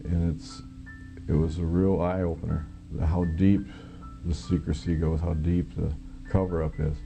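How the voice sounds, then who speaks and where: Very gravelly, low-voiced American man. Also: little pitch movement, unhurried, over music. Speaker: former military air traffic controller, witness. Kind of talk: personal testimony in an interview.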